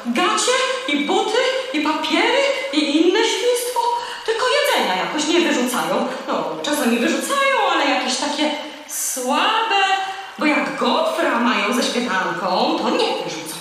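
A brash, greedy mother seagull chatters in an extremely high voice, blunt and grumbling. The children's audio drama gives it a heavy echo with long, cavernous reverb. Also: huge pitch swings, measured pace, bright, forceful tone, full character voice. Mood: indignant, grumbling. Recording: echoey, reverberant cave effect, faint noise.

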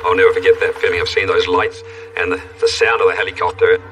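Deep-voiced man recalling a crash. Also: flat delivery, fast, a bit bright, a little hiss or hum. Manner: plain, reflective storytelling. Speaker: crash survivor interviewee. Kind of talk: documentary interview recollection. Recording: distant mic with music.

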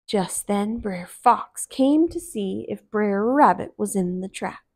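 A woman in her thirties reading a children's story aloud in an expressive, deliberate way. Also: mid-range voice, breathy edge. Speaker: storybook reader. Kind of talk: children's read-aloud narration. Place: small living room, indoors on a couch.

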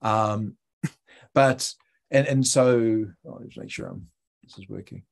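A man's voice: on the low side, slow, vocal fry. A man with a New Zealand accent giving an informal talk as a guest speaker over a video call, with hesitant, conversational phrasing. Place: indoor room on a video call.

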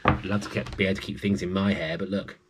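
A man's voice: low-pitched, flat delivery, quick pace, very noisy. A man in his forties reads a children's story aloud, adding chatty asides to his young listeners. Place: small room at home with bookshelves.

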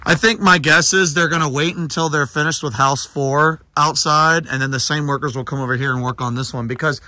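A man giving casual, halting, rambling vlog commentary as host of a walking tour. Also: a little high, steady tone, sharp tone. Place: walking through empty new houses and outside. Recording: handheld camera with clear background noise.

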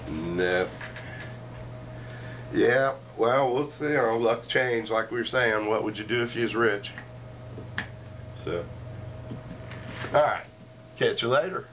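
A man's voice: mid-range voice, takes it slowly, pauses often, fairly bright tone. Middle-aged man rambling from across the room. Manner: joking, meandering, with frequent asides. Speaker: show host. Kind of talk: rambling casual chat. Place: cluttered living room, indoors. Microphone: fixed camera across the room. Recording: distant camera mic, faint room noise.

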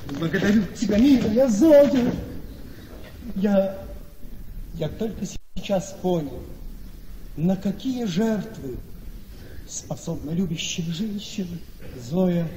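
An actor playing a manipulative, self-pitying gentleman husband in a Russian radio play, coaxing and melodramatic. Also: high-pitched, lively intonation, takes it slowly, pauses often. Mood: smooth coaxing. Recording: reverberant studio radio play with noise.

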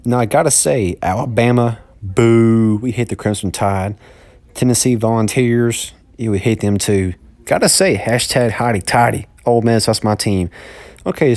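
A man's voice: mid-range voice, darkish tone, no echo. A man, filming a casual walk-through vlog of a big store on his phone, rambling and repeating himself. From Mississippi, USA. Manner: rambling, repeats words, upbeat. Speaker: vlogger. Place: large indoor store. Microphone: handheld phone.

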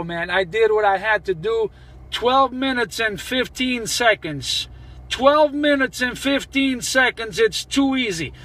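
A man with a very high voice banters on CB radio. He is boastful, chanting and repeating his phrases as he goes. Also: fairly bright tone. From New Jersey, United States. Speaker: CB radio operator.

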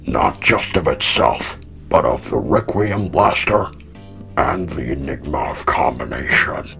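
A man playing a solemn, weary old heroic robot leader in an animated fan dub, speaking with grave, portentous deliberation. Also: extremely low pitch, a little slow, gravelly, faint music behind, exaggerated voice. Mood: solemn, foreboding. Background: musical score.

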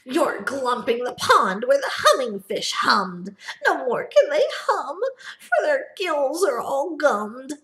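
A woman doing a croaky, scolding little old forest guardian. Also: high-pitched, big pitch swings, slow, fairly bright tone, pitched above the performer's own voice, exaggerated voice. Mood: indignant. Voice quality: croaky, coughing. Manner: scolding, querulous. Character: small grumpy old male forest guardian. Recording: echoey room.

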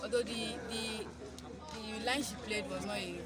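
A woman's voice: a little high, fairly even intonation, normal speed. Young woman speaking amid heavy crowd noise. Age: young adult. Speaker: stage play performer being interviewed. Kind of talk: casual interview answers. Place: outdoors in an open yard with people around. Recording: very noisy outdoor crowd.

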